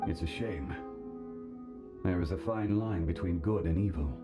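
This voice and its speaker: An actor playing an ancient, stoic and weary god of contracts in a video game, speaking in a measured, grave and formal way. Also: male voice, low-pitched, music in the background. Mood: wistful. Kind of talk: scripted video game character acting.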